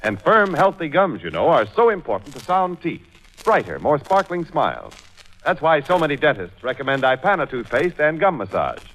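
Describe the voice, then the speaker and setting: A man's voice: a little high, very animated, moderate pace, a bit bright, poor audio. An American man announcing in a polished, persuasive 1940s radio commercial style.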